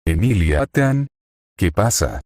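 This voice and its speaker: A man's voice: deep voice, very animated, slow pace, darkish tone, dry recording, clean studio sound, heavily acted. A man reading the lines of a cheerful, jokey young hero who turns desperate. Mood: joking. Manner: jokey, then pleading.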